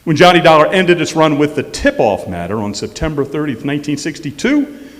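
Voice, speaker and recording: A man hosting a live stage show, introducing it informally to an audience. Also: on the high side. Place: hall of a museum courthouse, on stage. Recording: echoey hall, distant stage sound.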